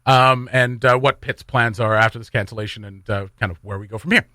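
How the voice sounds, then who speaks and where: A man's voice: mid-range voice, steady tone, a little fast, sharp tone, dry recording, clean studio sound. A man hosting a morning radio show with casual, joking, rambling banter and announcements. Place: radio studio.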